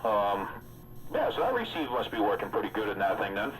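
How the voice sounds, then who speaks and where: A man's voice: on the low side, very animated, brisk, poor audio. A man chatting casually as a regular on a radio hobby stream, talkative and joking in a folksy way. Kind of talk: casual chat.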